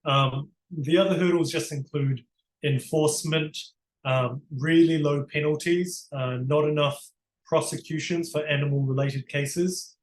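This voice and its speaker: A man's voice: a little high, fairly even intonation, unhurried, roomy sound, poor audio. A man with a New Zealand accent, answering interview questions in an earnest, explanatory way with frequent restarts. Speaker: barrister, interview guest. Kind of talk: conversational interview.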